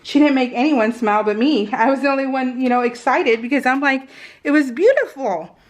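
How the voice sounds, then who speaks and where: A middle-aged American woman telling personal stories in a casual, informal, animated way, slipping into retold dialogue. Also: high-pitched, a little fast. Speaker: craft video host. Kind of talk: casual chatty storytelling.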